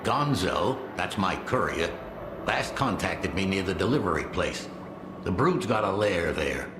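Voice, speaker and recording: A gruff, suspicious game trader with a low voice that swings lively from curt to sardonic. The room echoes around him and music plays behind. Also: male voice, a little slow, noisy background. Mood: businesslike, edgy. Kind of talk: video game dialogue. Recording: roomy echo, music behind.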